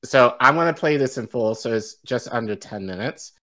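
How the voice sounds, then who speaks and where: A man's voice: mid-range voice, expressive, normal speed, vocal fry, a bit bright. A male co-host reading news articles aloud on a live stream, stumbling over foreign names and laughing easily. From Igbo-speaking background. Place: home studio, indoors.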